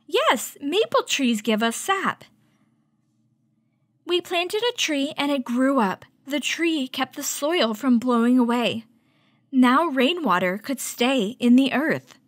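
A woman hosting a children's story time, reading a picture book aloud and teaching with clear, instructive delivery. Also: high voice, dry recording. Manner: clear, instructive, addressing young children with questions.

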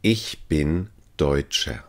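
A man's voice: extremely low pitch, drawn-out words. A man teaching language phrases, saying each one three times carefully and evenly. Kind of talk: slow repeated phrase drill.

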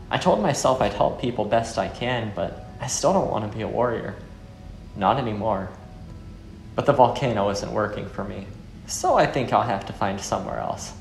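A man playing a weary, brooding former soldier turned fisherman in a visual novel, speaking in a solemn, earnest, measured way. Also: medium pitch, gravelly. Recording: echoey room under music.

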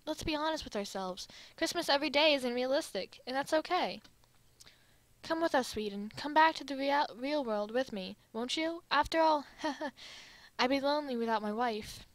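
Gentle wistful cartoon boy, acted by a woman, light high voice, sincere delivery, dry sound. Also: normal speed, no room sound, natural voice. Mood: gently reassuring. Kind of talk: amateur fan dub roleplay.

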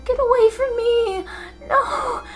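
A woman's voice: very high-pitched, slow, soft background music, pitched above the performer's own voice. A woman voicing a terrified girl, frantically begging for mercy.

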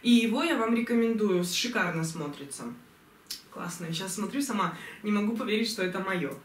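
A young woman reviewing clothes she ordered online, chatty and enthusiastic, slightly hoarse from a cold, filmed at home on a distant camera. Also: mid-range voice, fairly quick, a little hiss or hum. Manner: chatty, enthusiastic, many fillers. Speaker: fashion vlogger. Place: bedroom at home, indoors. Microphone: camera mic from a distance. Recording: roomy echo, voice from far off.

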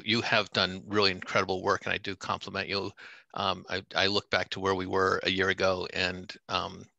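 A man answering questions on a video call from a home office, speaking in a halting, measured way with many restarts. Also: deep voice, gravelly, bright, forceful tone, no room sound. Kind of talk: video call meeting reply. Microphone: headset on video call.

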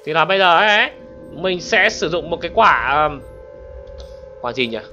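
A man giving excitable gameplay commentary as a channel host, often exclaiming and addressing his viewers. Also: on the high side, moderate pace, lo-fi sound, soft background music.

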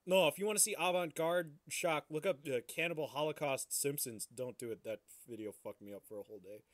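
A man's voice: on the high side, brisk. A young adult man co-hosting a podcast in casual, crude, profane banter. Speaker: podcast co-host.

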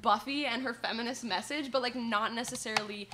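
A woman's voice: a little high, steady tone, fairly quick, a crowd in the background. A young woman co-hosting a student radio show, chatting casually. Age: young adult. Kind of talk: casual unscripted discussion. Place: small classroom studio. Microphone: stand microphone.

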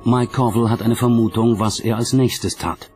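A man's voice: mid-range voice, steady tone, normal speed, music in the background. A man reading scripted documentary narration as the narrator.

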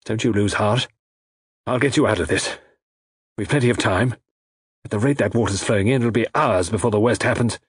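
A man voicing a gallant, protective army major in an audiobook, with a brisk, plucky, plain-spoken manner. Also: fairly low pitch, breathy edge, no room sound, clean studio sound. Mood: reassuring.

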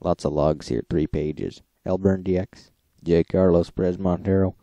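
A man's voice: low-pitched, fairly even intonation, normal speed, warm tone, no echo, muffled recording. A man hosting an informal recap, reading out lists of radio logs with occasional brief asides. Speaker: host of a radio logging recap.

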